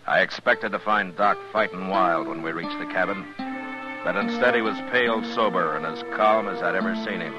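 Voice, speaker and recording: Man acting a deep-voiced stern frontier marshal. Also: moderate pace, close to an everyday voice. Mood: grave, restrained. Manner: gruff, plain-spoken, terse. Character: stern, laconic frontier marshal narrating and talking. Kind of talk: 1950s radio western acting and narration. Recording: muffled old radio recording with music.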